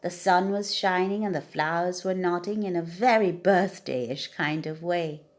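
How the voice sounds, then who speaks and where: A woman reading a children's bedtime story aloud as an expressive narrator. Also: lowish voice, unhurried. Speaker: storybook reader.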